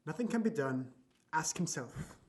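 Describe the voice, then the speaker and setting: A man's voice: on the high side, animated, moderate pace. A man playing a dying old doctor in an audio drama, formal and emphatic, bitter and weary of life. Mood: bitter, agitated.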